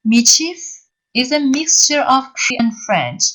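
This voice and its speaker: A woman whose first language is Persian, giving an online lecture in English, halting and filling pauses often as she explains step by step. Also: high voice, expressive, a bit bright, rough recording. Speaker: university lecturer.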